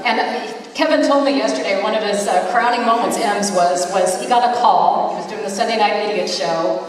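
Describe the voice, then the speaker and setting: A woman's voice: medium pitch, fairly quick. A woman presenting awards at a public event in an enthusiastic, chatty way. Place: large hall at a public event. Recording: echoey PA in crowded hall, distant.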